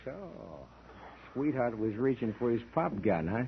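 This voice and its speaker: A man's voice: medium pitch, moderate pace, natural voice. A man playing a sadistic, wisecracking henchman who taunts in slang in an old radio crime drama. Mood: taunting. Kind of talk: 1940s-50s radio crime drama acting. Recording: tinny, faintly noisy old radio recording.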